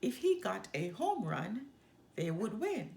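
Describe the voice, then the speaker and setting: Female storyteller, expressive children's read-aloud, slow pace, creaky edges, roomy sound. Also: medium pitch, a little hiss or hum. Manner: expressive, dramatic reading. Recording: roomy echo.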